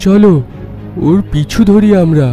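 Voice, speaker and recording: Cartoon hero, voiced by a man: earnest, troubled young man, high pitch, very mellow dark tone, music underneath. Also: a little slow. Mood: alert. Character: determined young man desperately seeking his lost beloved. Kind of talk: animated horror cartoon acting.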